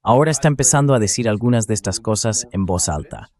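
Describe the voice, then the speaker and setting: Synthetic male narrator for translated political commentary, fairly low register. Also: animated, quick pace, a little mellow.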